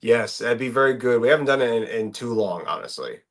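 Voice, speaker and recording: A man's voice: medium pitch, fairly quick, a loud crowd. A man who fights MMA, a guest chatting casually and laughing easily. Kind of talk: casual interview chat.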